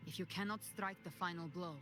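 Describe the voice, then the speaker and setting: A woman playing a composed, solemn warrior in a video game, speaking with measured authority. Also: lowish voice, music in the background, lightly acted. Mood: grave, solemn. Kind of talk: dramatic game voice acting.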